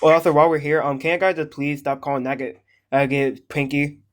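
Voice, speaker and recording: A man's voice: on the high side, steady tone, brisk. Male reaction host, casual and joking, slangy delivery with frequent exclamations.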